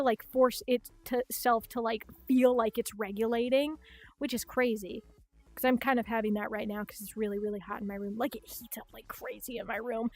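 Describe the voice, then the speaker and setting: A young woman with a Chicago-style Midwestern accent, raised in Minnesota and South Dakota, chatting quickly and swearing freely as a livestream host late at night from her bedroom. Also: fairly high pitch, no echo. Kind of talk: casual late-night stream chat. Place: hot bedroom at home.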